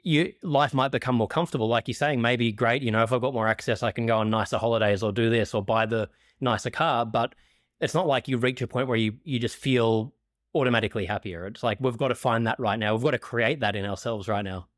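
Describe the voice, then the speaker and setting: A man's voice: medium pitch, fairly quick, no room sound. Aussie guy hosting a podcast, laid back, mid voice, dry close sound. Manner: relaxed, agreeable, many fillers. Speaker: podcast host. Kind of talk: casual interview chat.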